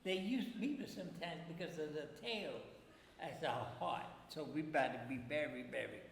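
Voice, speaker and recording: A man voicing a cautious, neighborly family beaver with a halting, repetitive way of warning. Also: a little high, gravelly, reverberant, long reverb tail, a little hiss or hum. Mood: cautioning.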